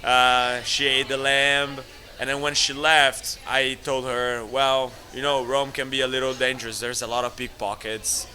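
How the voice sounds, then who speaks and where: A man's voice: mid-range voice, a little slow. A man in his thirties from Italy with an Italian accent, a restaurant owner telling stories in a casual interview and acting out the people he quotes. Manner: animated, laughs often, acts out quoted voices. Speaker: guest, restaurateur. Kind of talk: casual storytelling.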